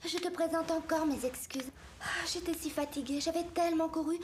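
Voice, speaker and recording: A woman playing a gentle, innocent young princess in a French-dubbed cartoon fairy tale, speaking politely and earnestly. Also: very high voice, steady tone, fairly quick, slight background noise. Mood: apologetic. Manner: courteous, formal, earnest speech.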